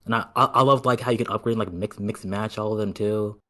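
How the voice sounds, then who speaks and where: A man's voice: on the low side, fairly even intonation, a bit bright. A man co-hosting a gaming podcast, talking with enthusiasm and filling his sentences with "like" and "freaking". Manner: quick, enthusiastic, lots of "like" and "freaking". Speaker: podcast co-host. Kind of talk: casual gaming chat.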